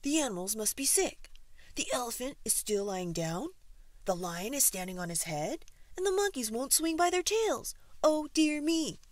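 A flustered zookeeper man played by a woman storyteller, raised in pitch and very animated. He frets and exclaims in alarm, in children's storybook style. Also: moderate pace, no echo. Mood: worried.

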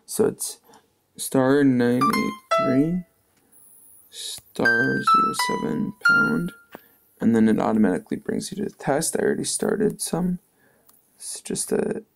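A man's voice: mid-range voice, expressive, a little slow, gravelly, fairly bright tone, faint music behind. A man hosting a tech channel, talking offhand in a casual phone tutorial as he taps through screens. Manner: offhand, talking while tapping through tests.

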